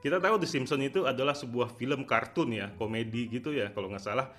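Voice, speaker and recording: A man's voice: mid-range voice, fast, a bit bright, soft background music. A man giving casual, conversational market commentary as a video host, often ending phrases with a tag word. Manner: conversational, repeatedly tags phrases with "ya".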